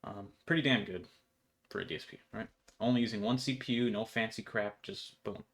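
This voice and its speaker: A man's voice: on the low side, fairly even intonation, a little fast, fairly bright tone. A young man giving an informal code walkthrough in an offhand, slangy way. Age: young adult. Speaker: programmer presenting his project. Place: indoor room at home.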